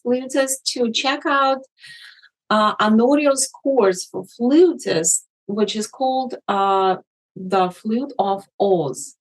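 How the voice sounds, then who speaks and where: Slow-speaking woman guest. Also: fairly high pitch, low-quality recording. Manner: deliberate, with many ums and uhs. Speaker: guest, magazine editor. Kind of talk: interview answers.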